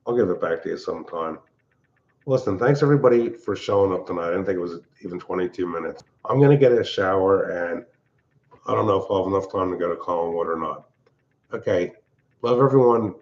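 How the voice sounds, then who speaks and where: An older man, hosting a casual livestream from his open-plan home, talking in a loud, blunt, rambling way. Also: low-pitched, fairly quick, lo-fi sound. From Pennsylvania. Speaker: livestream host. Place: large open-plan house at night.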